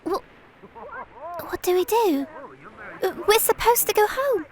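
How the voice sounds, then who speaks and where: A woman voicing an excitable, daring little girl in an audio drama. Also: extremely high pitch, a little slow. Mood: worried, scared.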